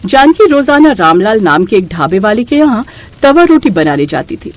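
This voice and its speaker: A woman's voice: mid-range voice, very animated, normal speed. A woman reading scripted storybook narration as the narrator.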